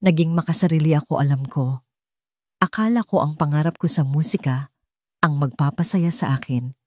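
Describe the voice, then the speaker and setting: A woman voicing a remorseful, pleading mother in an audiobook romance, calm but grieving, with halting phrases. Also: low-pitched, no echo, clean studio sound. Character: remorseful pleading mother, a former concert pianist.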